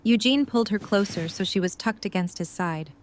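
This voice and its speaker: A female narrator with a medium-pitched voice. She reads scripted prose that links the scenes of a romantic audio drama. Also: a little fast, a little hiss or hum.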